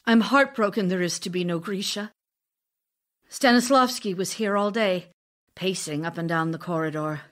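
A woman's voice: fairly low pitch, moderate pace, lightly acted. Woman acting a passionate, lovesick actress wife. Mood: grieving. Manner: theatrical, emotional, confiding. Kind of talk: audio drama reading of love letters.

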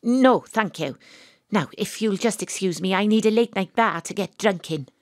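A woman's voice: mid-range voice, moderate pace, no echo, clean studio sound, lightly acted. A woman playing a wry, world-weary private detective who narrates her own story in a confiding way in an audio drama. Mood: curt. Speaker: narrator and lead character.

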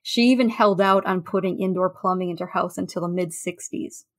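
A woman guest in a conversational podcast interview, telling anecdotes in a halting, thinking-aloud way. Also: lowish voice. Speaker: interview guest, food history researcher.

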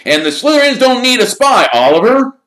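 A loud, cheeky teenage boy from a children's storybook, voiced by a man reading aloud. His pitch sits well above the reader's own. The recording is roomy and echoing. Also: sing-song, brisk, exaggerated voice. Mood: matter-of-fact. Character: cheeky, incredulous teenage schoolboys and teammates. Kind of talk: audiobook character voice in a children's fantasy story.

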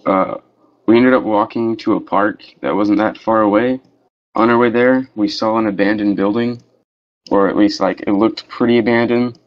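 Young man, slightly low voice, slangy, casual storytelling, muffled lo-fi chat audio. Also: fairly even intonation, normal speed. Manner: easygoing, slangy, says "dude" and "bro". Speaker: voice chat participant.

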